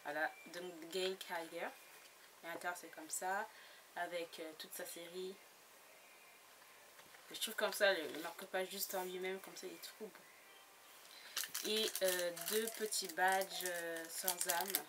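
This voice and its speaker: A woman's voice: fairly low pitch, fairly even intonation, slow, long pauses. A woman chatting casually about her latest book haul, giggly and full of fillers and restarts. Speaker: book blogger. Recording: noisy home recording.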